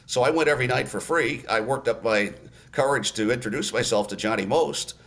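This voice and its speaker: A man in his sixties from Massachusetts, a radio announcer telling stories in a podcast interview with many "uh" pauses. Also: mid-range voice. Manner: relaxed storyteller, many "uh" pauses.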